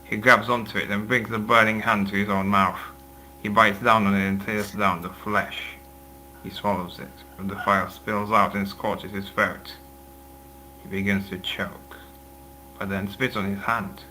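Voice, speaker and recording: A man's voice: lowish voice, level tone, measured pace, pushed and bright, rough recording. A man reading scripted narration of a fantasy action story as its narrator. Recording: clear background noise and hum.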